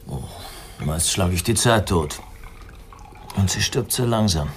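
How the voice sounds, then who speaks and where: A man's voice: deep voice, lively intonation, a little slow, slight background noise. An actor playing a weary, self-pitying man who drinks, musing and cynical, in a radio crime drama. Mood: bitter, resigned. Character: weary, self-pitying male drifter and drinker, arrogant, fatalistic.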